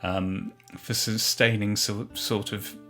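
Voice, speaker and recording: A man's voice: lowish voice, little pitch movement, takes it slowly, sharp tone. A man who works in audio drama production, talking reflectively in an interview. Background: music.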